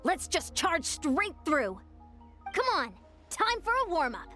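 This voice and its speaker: A woman voicing an eager, friendly young heroine in an anime game with cheerful, animated delivery. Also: extremely high pitch, slow pace, soft background music, heavily acted. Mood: upbeat.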